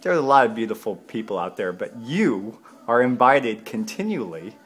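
A man asking questions in a casual interview, informal and a little halting in his phrasing. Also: medium pitch, big pitch swings, husky.

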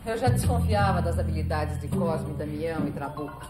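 A Brazilian woman playing an imperious, scheming gang boss in a measured manner in a 1970s telenovela. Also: sits low, lightly acted. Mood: cool, commanding. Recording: echoey old TV audio, loud music behind.

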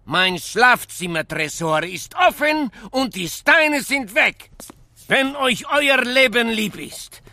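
Blustering Spanish crime boss, Spanish accent, very high piercing voice, slow theatrical delivery, children's radio play. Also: male voice, animated, vocal fry, sharp tone, dry recording, heavily acted. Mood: menacing. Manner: theatrical, drawn-out, peppered with Spanish words. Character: excitable Spanish male gangster boss, indignant and blustering. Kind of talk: children's audio drama acting.

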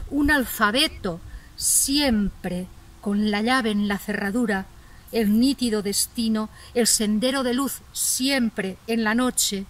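Slow solemn woman reciting verse. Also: mid-range voice, slight background noise. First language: Catalan. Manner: measured, dramatic pauses between phrases. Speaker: poet. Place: open dry countryside outdoors. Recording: outdoor ambience, voice a little distant.

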